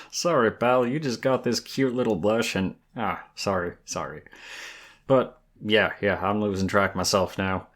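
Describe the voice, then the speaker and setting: Slow, low-voiced flirty Southern werewolf played by a man. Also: fairly bright tone, full character voice. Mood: flirty, teasing. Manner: easygoing, folksy, teasing drawl. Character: flirty, friendly male werewolf guide, folksy and teasing. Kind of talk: ASMR roleplay, intimate one-sided dialogue.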